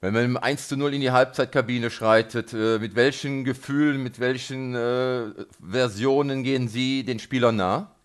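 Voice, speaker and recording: Male radio host, mid-pitched voice, local sports interview, halting and hesitant delivery. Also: steady tone, smooth.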